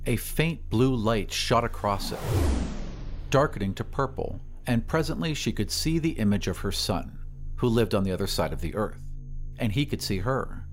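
Expressive male storyteller with music behind. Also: on the low side. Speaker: podcast host and narrator. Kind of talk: dramatic scripted narration.

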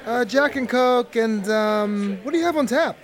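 A man's voice: very high-pitched, moderate pace. A man playing an easygoing young boyfriend and soccer fan in a casual audio drama. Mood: casual, polite. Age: young adult. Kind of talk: casual, naturalistic drama dialogue.

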